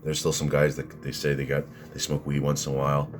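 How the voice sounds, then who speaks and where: A man with a deep voice, ranting at his critics in rambling, combative commentary full of restarts and fillers. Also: completely flat delivery, brisk, a little hiss or hum. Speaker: vlogger answering critics.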